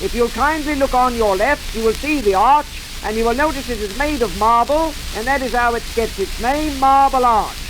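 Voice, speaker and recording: A man's voice: very high-pitched, moderate pace, few pauses. A cheerful sightseeing guide in a vintage radio comedy. He delivers formal, deferential patter with patient, wry courtesy. The recording is full of hiss and crackle. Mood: brisk, courteous patter. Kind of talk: old-time radio comedy acting.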